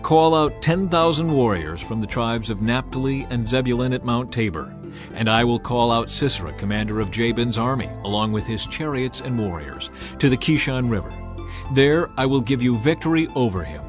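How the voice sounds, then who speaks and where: A man's voice: mid-range voice, lively intonation, normal speed, lightly acted. A man voicing a resolute woman prophet in a dramatized scripture reading, declarative and authoritative, over music. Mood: commanding. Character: commanding, resolute woman prophet of ancient Israel.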